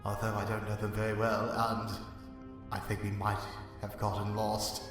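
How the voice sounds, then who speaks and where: Dutiful young apprentice acted by a man, lowish voice, polite, formal, cavernous distant sound, music behind. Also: monotone, moderate pace, natural voice. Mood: gently concerned. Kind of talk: comic dub voice acting. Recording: echoey, distant, music underneath.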